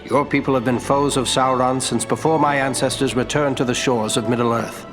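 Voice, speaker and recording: Fantasy game heir-to-the-throne ranger, male actor: sincere, dignified, measured, long reverb, faint music underneath. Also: medium pitch, fairly even intonation, close to an everyday voice. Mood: earnest. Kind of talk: fantasy game dialogue acting.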